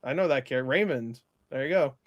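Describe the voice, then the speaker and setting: A man's voice: medium pitch, fast, lo-fi sound, over a noisy crowd. A man giving a casual film review in a rambling, emphatic and blunt way, as a movie reviewer in conversation.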